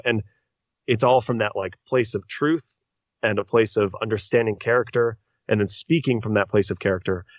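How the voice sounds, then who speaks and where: Low-voiced voice actor guest, dry sound. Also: male voice, dry recording, dull audio. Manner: earnest, talkative, explains at length. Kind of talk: casual podcast interview.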